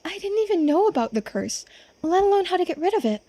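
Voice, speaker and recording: Woman doing a very high, earnest young woman. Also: sing-song, fast, dark tone, slight background noise. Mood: puzzled. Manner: earnest, hesitant, pleading. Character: anxious, earnest young island woman, sweet and stubborn. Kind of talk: visual novel character voicing.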